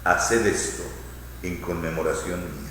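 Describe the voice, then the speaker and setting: Echoing, low-voiced priest praying solemnly. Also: male voice, fairly even intonation. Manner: solemn, measured, phrase by phrase. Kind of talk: liturgical prayer recitation. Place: large church interior. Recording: echoing church hall, long reverb, faint noise.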